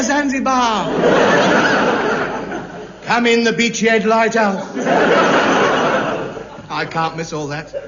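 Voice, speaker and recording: Blustering stingy man, stammering, pompous, high lively voice, hissy old radio broadcast. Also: highs cut off. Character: blustering, self-pitying, penny-pinching grown man. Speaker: lead comic character. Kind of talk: 1950s radio sitcom acting.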